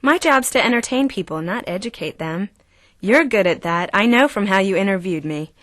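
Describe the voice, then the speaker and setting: A poised film actress and co-host played by a woman in an audio drama. Her voice is medium in pitch, with a dry, close sound. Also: animated, normal speed, fairly bright tone, no room sound, lightly acted. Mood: doubtful.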